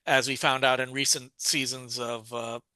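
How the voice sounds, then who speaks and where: A man's voice: medium pitch, flat delivery, moderate pace, bright, forceful tone, no echo. A man co-hosting a podcast, recapping a story in a rambling, casual way with many restarts and fillers. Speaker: podcast co-host.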